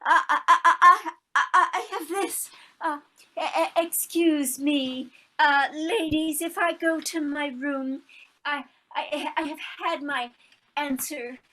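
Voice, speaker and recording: A very high, bright voice of an old grande dame, played by a woman. She speaks slowly and tremulously, stammering with lovelorn dignity in a theatrical one-act play. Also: exaggerated voice. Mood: overwhelmed. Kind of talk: stage acting in an online one-act play.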